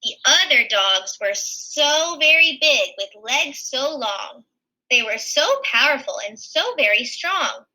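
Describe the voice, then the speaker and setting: A woman's voice: high voice, expressive, slow pace, sharp tone, poor audio. A woman reading a children's picture book aloud expressively, adding simple explanations as a teacher would. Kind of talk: animated children's read-aloud with asides.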